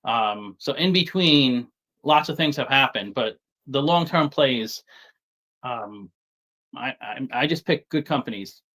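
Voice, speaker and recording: A man from New York City with a softened New York accent, talking casually as an interview guest, halting and often repeating words as he restarts. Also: medium pitch, expressive, low-quality recording. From Staten Island, New York City. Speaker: guest investor.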